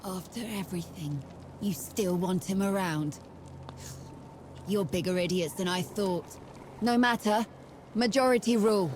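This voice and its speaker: An actress playing a bitter, unforgiving soldier in a video game, confrontational and full of contempt. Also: female voice, mid-range voice, measured pace, long pauses, darkish tone, a little hiss or hum, natural voice. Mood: bitter, scornful. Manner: scornful, confrontational.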